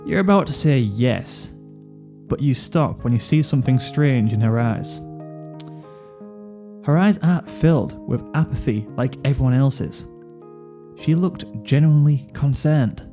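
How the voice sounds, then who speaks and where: A man's voice: mid-range voice, expressive, measured pace, pauses often, dark tone, faint music behind. A man narrating a second-person fan fiction story.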